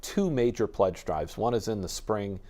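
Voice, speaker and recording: A man's voice: on the low side, expressive, moderate pace, a little mellow. An American man talking casually as an interview guest from a radio station.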